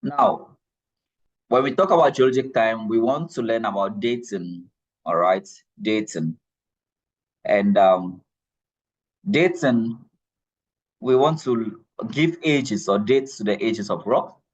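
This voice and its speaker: Male lecturer, low and breathy, patient and repetitive classroom delivery. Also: animated, takes it slowly, long pauses, poor audio. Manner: repeats key points, checks in with "all right?". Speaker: geology lecturer.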